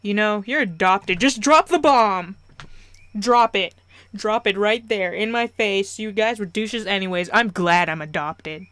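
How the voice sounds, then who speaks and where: A woman voicing a brash, sarcastic heckler who loudly taunts in the middle of a story. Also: deep voice, steady tone, slow pace, long pauses, no echo, voice pushed down. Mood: sarcastic outburst.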